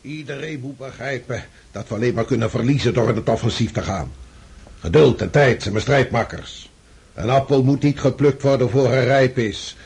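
An old Russian supreme commander in a radio drama. His voice is heavily husky and breathy. He speaks in deliberate, patient maxims, like a weary man admonishing his juniors. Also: mid-range voice, darkish tone.

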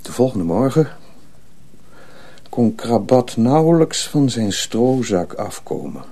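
A man narrating a radio drama as a scripted story, in an expressive, suspenseful storytelling manner. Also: mid-range voice, takes it slowly.

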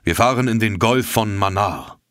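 A stern, secretive submarine captain speaks in a low, measured voice, as a man reads the part in an audiobook. The deep tone stays calm and unhurried. The sound is close and dry. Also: no room sound, close to an everyday voice. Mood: calm, cool.

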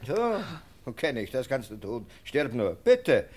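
Radio drama: sneering police lieutenant, mid-pitched, very lively intonation, authoritative and sure of himself. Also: male voice, slow. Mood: menacing, sneering. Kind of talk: German radio play acting.

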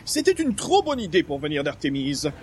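An actor playing a pompous, easily indignant columnist with formal, theatrical speech in an old-time style radio comedy. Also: male voice, a little high, wildly swooping pitch, moderate pace, a little mellow, slight background noise. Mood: exasperated.

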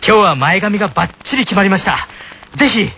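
A man's voice: high voice, animated, fast, creaky, a little hiss or hum, heavily acted. A man playing an earnest, polite teenage tennis club vice-captain in a comic anime radio sketch. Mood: earnest, cheerful. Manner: polite, eager, self-announcing. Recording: loud music bed behind voice. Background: music.

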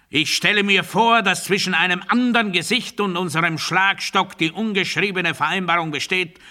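An actor playing a menacing, self-righteous authoritarian orator in a satirical radio play monologue, declaiming pompously with emphatic rhetorical delivery. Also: male voice, on the high side, bright, forceful tone.

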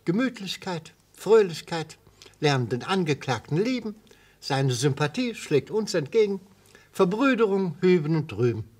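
Florid, animated old prosecutor. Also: male voice, high-pitched, normal speed. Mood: sentimental, genial. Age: older. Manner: oratorical, grandiloquent. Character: genial, florid old retired prosecutor savouring the accusation. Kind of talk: German radio play acting.

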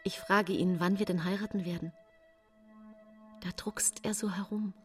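A woman's voice: mid-range voice, steady tone, measured pace, faint music behind, lightly acted. An actress playing a destitute, proud and bitter middle-aged woman confessing in an intimate, theatrical radio play narration. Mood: wistful, calculating. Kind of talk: dramatic first-person radio play narration.

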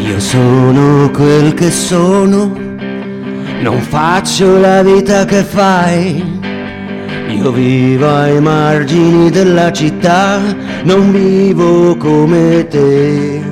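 A woman's voice: low-pitched, extremely slow. A woman singing a song as part of a theatre performance with guitar. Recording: reverberant hall, loud guitar music.

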